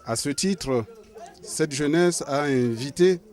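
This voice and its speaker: A man with a Cameroonian French accent making a formal public appeal as a local resident, speaking slowly and deliberately. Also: a little high, darkish tone. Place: outdoors in a neighborhood.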